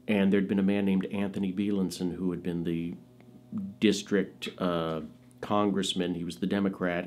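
Low-voiced middle-aged American man telling stories. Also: a little mellow. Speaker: interviewee, actor and filmmaker recalling his father. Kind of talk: casual anecdotal storytelling in an interview. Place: small indoor studio with dark curtains.

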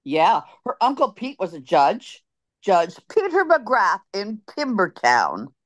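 A woman's voice: medium pitch, huge pitch swings, slow, no room sound, clean studio sound, exaggerated voice. A woman reading the part of a wry fellow churchgoer at a funeral, over a video call. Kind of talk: zoom radio-play reading.

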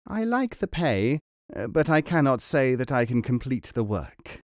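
A cautious frog, voiced by a male storyteller, answers with a little hesitation. It speaks slowly in a dry, close recording. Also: mid-range voice, very animated, no echo. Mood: hesitant. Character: cautious, honest frog in a fable.

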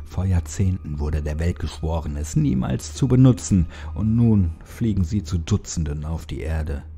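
A man's voice: sits low, lively intonation, normal speed, warm tone, slightly rough, heavily acted. A man voicing a despairing, drugged Egyptian man in a horror story, bitter, defiant and impassioned. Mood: bitter, resigned. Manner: impassioned, raised, bitter delivery.

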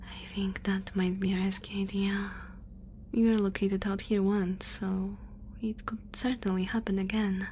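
A cautious female alien scientist, played by a woman whispers softly in an ASMR roleplay. She is formal, precise and curious about humans. Her voice sits at a middling pitch, with noisy ambience behind it. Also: little pitch movement, a little slow, lightly acted. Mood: measured, cautious.

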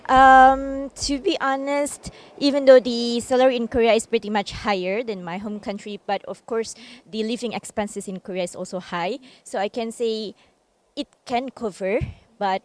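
A woman's voice: on the high side, steady tone, moderate pace. A woman answering hesitantly and carefully in a street interview. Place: city street outdoors.

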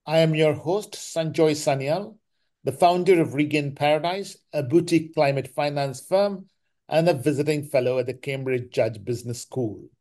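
A man's voice: fairly high pitch, moderate pace, a little mellow. A man hosting a podcast, giving introductions and asking interview questions. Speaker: podcast host.

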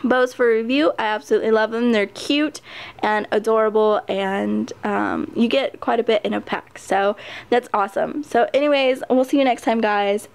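A woman's voice: fairly high pitch, steady tone, normal speed, gravelly, clear, resonant tone. A woman chatting casually through a nail polish review, full of ums and little laughs. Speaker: nail art vlogger. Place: home table, hands on camera.

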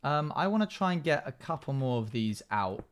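A man's voice: medium pitch, sing-song, fairly quick, clean tone. Young man chatting through a gaming livestream. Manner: chatty, reads chat messages aloud and answers. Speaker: live streamer. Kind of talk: casual gaming stream commentary. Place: small home room, indoors.

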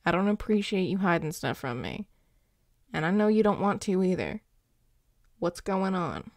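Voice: mid-range voice, animated, normal speed, no echo, natural voice. An actor playing a grumpy but caring werewolf boyfriend in an intimate audio roleplay, dry and exasperated, with sighs. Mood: concerned, tender. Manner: sighing, dry, exasperated, teasing.

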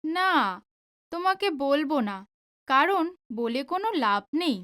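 A woman's voice: sits high, very animated, measured pace, fairly bright tone, full character voice. A woman playing a pouting, headstrong, lovestruck young woman in an audio drama, petulant and pleading. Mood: sulky, pleading. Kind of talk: Bengali audio drama acting.

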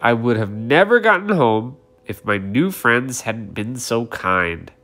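A storyteller voicing a greedy little male bee in a children's story, with high, exaggerated cries. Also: lively intonation, a little slow, fairly bright tone, soft background music. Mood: content.

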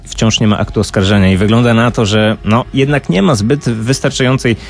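A man speaking as a true-crime expert in a radio discussion, explaining cases in a measured, storytelling way. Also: medium pitch.

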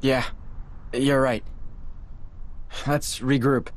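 A man's voice: medium pitch, little pitch movement, extremely slow. An actor playing an earnest, brainy teenage boy inventor in a video game, youthful and sincere. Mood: thoughtful. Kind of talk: dramatic video game cutscene acting.

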